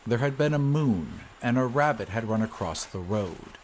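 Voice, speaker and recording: A man reading a classic horror story as scripted narration, in a measured, literary storytelling manner. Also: mid-range voice, expressive, slight background noise. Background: ambient sound effects.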